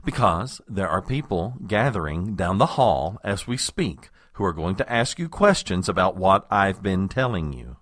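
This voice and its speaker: An actor playing a harried, world-weary chief of staff in a comedic audio drama, formal and exasperated. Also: male voice, low-pitched, expressive, measured pace, few pauses, fairly bright tone, dry recording, studio quality, full character voice. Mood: patiently frustrated. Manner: formal, long-suffering, exasperated.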